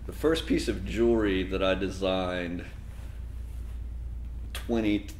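Deep-voiced Texan-born man in his 40s. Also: slow pace. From Texas. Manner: relaxed, reflective storytelling with occasional soft laughs. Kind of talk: sit-down interview. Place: dim indoor room. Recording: roomy echo with faint background noise.

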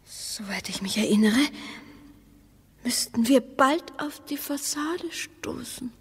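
A very breathy, doubting wife in a radio drama, speaking hesitantly in a fairly high voice. The sound carries a long, hollow reverberation. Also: female voice, animated, slow, exaggerated voice. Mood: doubtful, suspicious. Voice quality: breathy, often whispery. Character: wary, doubting wife exploring underground with her husband. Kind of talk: German radio play acting.

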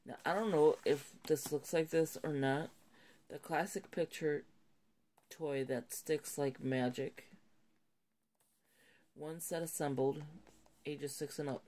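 A woman's voice: deep voice, fairly even intonation, slow, pauses often, dark tone. A woman vlogger with a slightly nasal voice from a cold, chatting casually and rambling as she shows items at a home table. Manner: rambling, self-correcting, chatty. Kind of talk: casual haul video chat.

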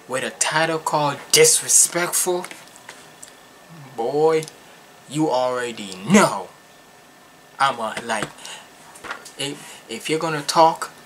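A young man from Jamaica giving casual, animated and slangy commentary as he reacts to music at home. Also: mid-range voice, slight background noise. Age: young adult. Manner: animated, slangy, emphatic, repetitive. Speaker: reaction video host. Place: small furnished room at home.

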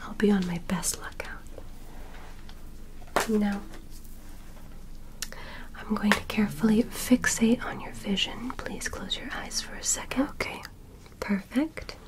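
A woman voicing a gentle, curious alien medical examiner in an ASMR roleplay, in a soft, breathy whisper with deliberate short instructions. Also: mid-range voice, natural voice, poor audio. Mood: curious, pleased. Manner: slow, deliberate, short instructions with simple praise. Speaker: ASMR performer playing an examiner.

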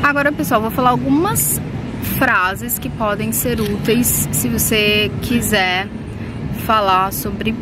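A woman's voice: on the high side, takes it slowly. Young Brazilian woman teaching on a noisy beach. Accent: Brazilian Portuguese. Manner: friendly, switches between Portuguese and English with fillers. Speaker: language teacher. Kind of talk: casual bilingual vocabulary lesson. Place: outdoors on a sunny beach. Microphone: phone held at arm's length. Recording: loud outdoor wind and traffic noise.

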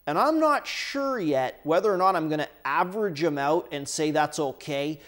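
Male workshop host with a slightly high voice, relaxed step-by-step teaching at the bench. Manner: casual, explanatory, talks while handling parts. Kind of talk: hands-on how-to explanation. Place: indoor workshop bench, overhead camera.

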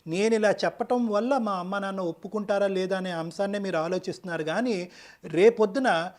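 Fast, high-pitched man giving counsel. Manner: firm, didactic, mixes English phrases into speech. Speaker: counselor on a relationship advice show. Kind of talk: advice-giving counseling talk.

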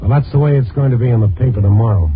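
A man's voice: medium pitch, rapid-fire, close to an everyday voice. An American man playing a tough, world-weary newspaper reporter in a hardboiled 1950s radio drama. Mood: angry, threatening. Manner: hardboiled, blunt. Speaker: lead actor and narrator. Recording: tinny old 1950s radio recording, music.

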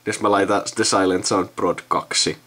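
A man's voice: sits low, fairly even intonation, fairly bright tone. A young man in casual chat, thinking aloud in a rambling way and often trailing off. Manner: rambling, trailing off mid-sentence. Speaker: video host recording himself with a friend. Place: indoors at a computer.

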